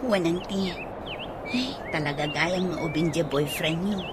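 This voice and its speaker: A woman's voice: on the low side, animated, a little slow, very noisy. A woman playing a polite, concerned young nurse in a radio drama. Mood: surprised, concerned.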